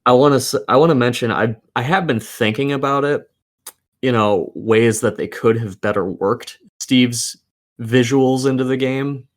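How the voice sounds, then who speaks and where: A man's voice: medium pitch, normal speed. A man co-hosting a casual video game discussion, giving opinions with plenty of swearing. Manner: profane, opinionated. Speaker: podcast co-host.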